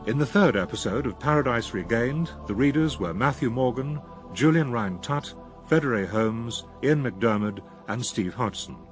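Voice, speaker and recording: A man's voice: medium pitch, animated, normal speed, over music. A man reading a formal scripted announcement as a radio continuity announcer.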